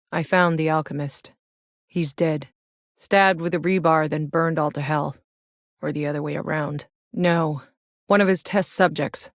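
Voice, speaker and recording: Casting: actress to play battle-hardened sci-fi game heroine, female, lowish voice, blunt, wry, very dry close recording. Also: dry recording, lightly acted. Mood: grim, sardonic. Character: tough, sardonic battle-hardened female soldier in sci-fi wasteland. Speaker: video game voice actor. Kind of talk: scripted video game character voice lines.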